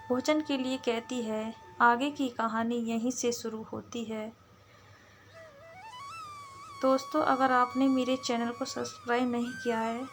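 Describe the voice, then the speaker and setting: Female storyteller, higher-pitched, very unhurried scripted narration over background music. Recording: music behind the voice.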